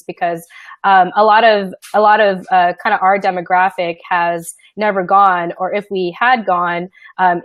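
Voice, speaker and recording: A woman's voice: medium pitch, no room sound. A woman from the Philippines interviewing a guest in a chatty way, using a lot of fillers. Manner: chatty, heavy filler use. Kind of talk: casual interview.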